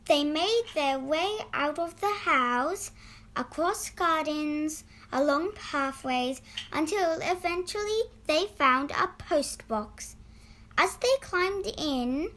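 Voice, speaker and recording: A woman narrating a children's storybook in an expressive, deliberate read-aloud style. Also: high-pitched. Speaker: storybook reader.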